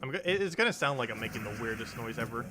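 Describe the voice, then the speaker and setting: A young man with a low voice, chatting casually on a live stream while he games, wandering off on tangents and laughing often. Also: animated, very fast, over music. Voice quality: low-pitched voice.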